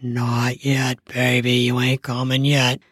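A woman's voice: rumbling low, completely flat delivery, slow pace, no echo, studio quality, voice pushed down, full character voice. A woman voicing a dominant, possessive male lover with a commanding, slangy delivery in an erotic audio story. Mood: teasing, commanding.

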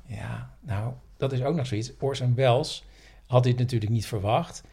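A man's voice: mid-range voice, moderate pace, gravelly, warm tone, slightly rough. A man co-hosting a podcast, telling stories and explaining things in a casual, conversational way. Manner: explanatory, anecdotal. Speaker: podcast co-host.

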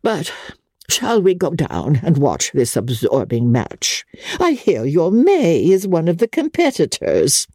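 A woman's voice: low-pitched, huge pitch swings, takes it slowly, a little mellow, no echo, clean studio sound, full character voice. A woman voicing an affected, theatrical society aunt in an audiobook, effusive, grandiose and sighing. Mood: lamenting. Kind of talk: dramatic audiobook character acting.

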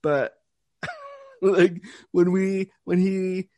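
A man hosting an interview, talking in an animated, chatty way with frequent restarts. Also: high-pitched, drawn-out words, dry recording. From Canada. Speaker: interview host. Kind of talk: casual conversational interview.

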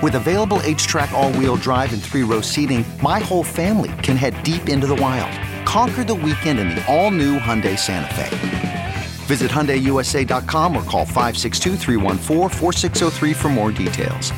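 A man reading a scripted car commercial in an upbeat, smooth sales delivery. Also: medium pitch, very animated, moderate pace, over loud music. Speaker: commercial announcer.

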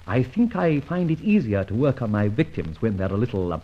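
An actor playing a disgraced army officer turned adventurer in an old radio drama, with theatrical, old-fashioned gentlemanly phrasing and a husky voice. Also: male voice, medium pitch, big pitch swings, a little fast. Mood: amused, suave. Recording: dull, lo-fi old radio recording.

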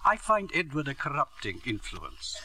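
An actor playing a proud, principled headmaster in a 1940s radio drama, speaking in a formal, stiff manner. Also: male voice, mid-range voice, wildly swooping pitch, normal speed, sharp tone, slightly rough, full character voice. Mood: stiff, pleading. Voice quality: formal and stiff, growing distraught. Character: proud, principled school headmaster, middle-aged man. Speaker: headmaster character.

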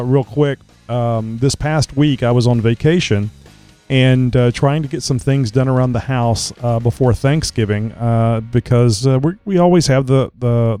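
Man getting over a cold, mellow voice. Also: medium pitch, clear, resonant tone. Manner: rambling, many filler words. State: recovering from a cold, slight crackle. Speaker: podcast host. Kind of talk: casual chat.

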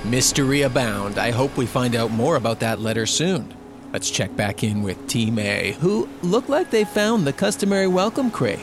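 A man's voice: mid-range voice, big pitch swings, moderate pace. A man playing an upbeat but slightly nervous reality competition host in an audio drama, with a presenterly, at times stammering delivery. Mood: presenting, intrigued. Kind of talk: audio drama acting, show narration. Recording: music behind the voice.

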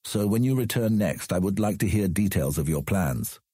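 A man playing a proud, measured ruler in a fantasy audio drama, speaking formally and with deliberate command. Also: low-pitched, animated, mellow, husky, no echo, studio quality. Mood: stern, measured. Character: proud, measured ruler of an outland city on his throne.

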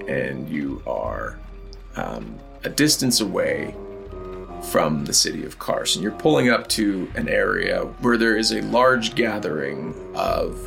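Slow gravelly male game master. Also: low-pitched. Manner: halting, fillers and restarts. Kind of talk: narrating a tabletop game.

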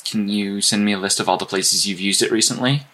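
An actor playing an earnest, apologetic strategist in an audio drama, speaking in a halting, analytical way. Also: male voice, lowish voice, fairly even intonation, sharp tone, a little hiss or hum. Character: earnest, apologetic male strategist guiding teammate remotely. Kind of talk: naturalistic audio drama dialogue.

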